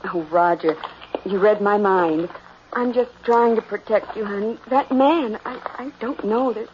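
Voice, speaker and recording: An actress playing an anxious, protective young newlywed wife, pleading in an old radio drama. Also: female voice, mid-range voice, unhurried, a little hiss or hum, lightly acted. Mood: fearful. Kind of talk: 1960s radio drama acting. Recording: muffled vintage radio, music behind.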